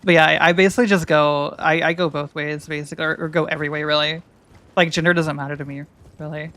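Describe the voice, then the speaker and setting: Bright-voiced woman streamer, rambling chat. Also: sits low, fairly even intonation, fast talker. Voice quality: feminine voice. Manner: rambling, self-interrupting, joking. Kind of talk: casual gaming stream chat.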